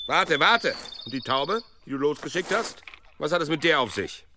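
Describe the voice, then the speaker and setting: An actor playing a boastful, suspicious monk librarian, needling and condescending, in a radio drama. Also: male voice, fairly high pitch, animated, moderate pace, noisy background, lightly acted. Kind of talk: German radio drama acting. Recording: crowd sounds behind.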